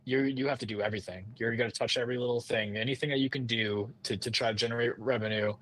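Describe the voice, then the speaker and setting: A man's voice: mid-range voice, steady tone, quick pace, fairly bright tone. Casually rambling man, podcast guest. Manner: informal, rambling, repeats and restarts phrases. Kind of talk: casual conversational interview talk.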